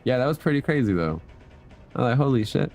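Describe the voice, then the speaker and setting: A man giving casual live commentary as he streams a game, laid-back, slangy and quick to swear. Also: mid-range voice, sing-song, a little mellow, faint music behind.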